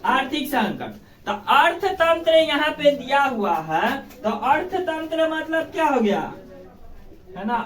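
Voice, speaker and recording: A man's voice: very high voice, fairly bright tone. A male teacher with a Bihari accent lectures in plain, everyday terms. He repeats key phrases and keeps putting prompting questions to his pupils. The sound is echoey, picked up at a distance from the microphone in a classroom. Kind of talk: classroom lecture explaining in simple colloquial terms.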